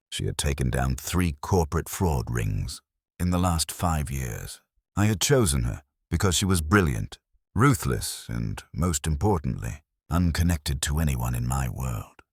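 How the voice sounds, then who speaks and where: A man reading a scripted dramatic first-person story as narrator, in a measured, solemn manner. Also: a bass voice, husky, no echo, clean studio sound.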